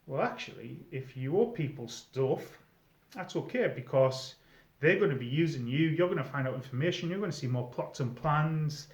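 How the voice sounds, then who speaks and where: A middle-aged man giving informal advice to viewers in a conversational, emphatic way, often pausing mid-sentence. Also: a little high, expressive. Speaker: experienced role player giving advice to newcomers. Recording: echoey room, slightly dull sound.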